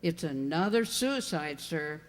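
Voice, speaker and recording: A woman's voice: low voice, lively intonation, measured pace, faint music behind. A woman playing a gossipy, callous servant at an inn in a radio mystery play, matter-of-fact and disapproving. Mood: gossipy, unfeeling. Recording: reverberant hall-like sound.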